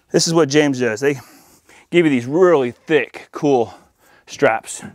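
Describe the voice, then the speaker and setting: A man's voice: mid-range voice, no echo. An American man hosting a hands-on installation demo in an informal, folksy, chatty way. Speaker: audio-video installer and channel host. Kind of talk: casual hands-on install demonstration.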